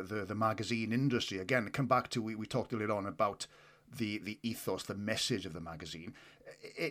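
A man's voice: lowish voice, a bit bright. An older man hosting a podcast interview, asking questions hesitantly with many restarts. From Wales. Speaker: podcast host.

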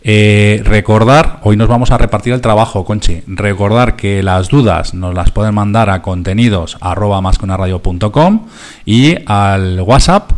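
A male radio host with a fairly low voice and a Spain Spanish accent. He talks informally, explaining things step by step in a prudent, conversational manner. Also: moderate pace. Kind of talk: conversational radio hosting and discussion.

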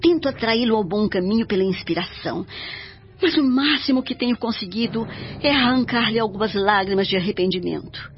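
A woman playing a serene, devoted older mother spirit in a radio drama, speaking in a solemn, measured, instructive way. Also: mid-range voice, lightly acted. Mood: solemn, sorrowful. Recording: dull radio drama track with music.

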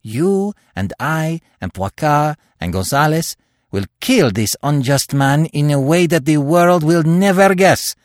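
A male reader plays a grave, composed man who leads a secret band, speaking low and measured, a notch deeper than his own narrating voice. The delivery is hushed and controlled, and it is recorded close and dry. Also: sing-song, creaky, dry recording, exaggerated voice. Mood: fervent.

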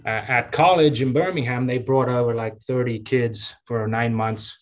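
A man in his fifties from Zambia, a county engineer giving relaxed, chatty interview answers with many fillers and restarts. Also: mid-range voice, moderate pace. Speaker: county engineer and public works director.

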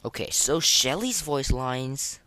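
A man hosting a casual, unscripted gaming podcast, speaking in a halting, repetitive, informal way. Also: mid-range voice, lively intonation, pushed and bright. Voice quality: voice that cracks now and then. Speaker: podcast host.

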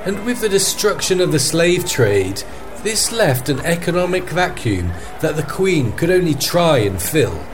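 A man's voice: a little high, big pitch swings, unhurried, music in the background. A man acting as a field correspondent, giving a scripted news report in an earnest, formal manner. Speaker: podcast host as field correspondent. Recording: faint background noise and ambience.

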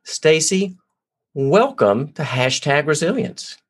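A man's voice: a little high, big pitch swings, takes it slowly. A man hosting a podcast, reading an upbeat, promotional intro and chatting with his guest. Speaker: podcast host.

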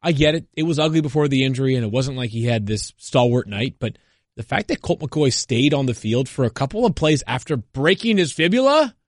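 A man's voice: mid-range voice, animated, fairly quick, dry recording, clean studio sound. A man in his thirties co-hosting a sports podcast, teasing and wry. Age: 30s. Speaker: podcast co-host. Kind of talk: casual sports chat.

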